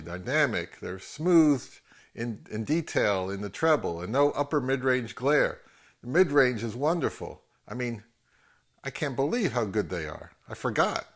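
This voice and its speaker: A man giving a casual, plain-spoken product review of audio gear in a measured, conversational way. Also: medium pitch, a bit bright.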